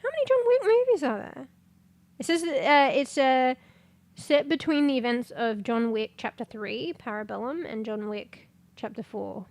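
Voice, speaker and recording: A congested woman chatting casually on a livestream, rambling, swearing and breaking into sudden loud exclamations as she reads things aloud. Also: high-pitched, lively intonation, moderate pace. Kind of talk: casual stream chat, reading aloud.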